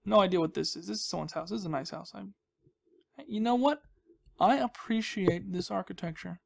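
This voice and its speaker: A man's voice: sits high, fairly quick, creaky. A young man giving casual, rambling commentary as he hosts a gaming video, with a goofy, self-deprecating, mock-dramatic manner.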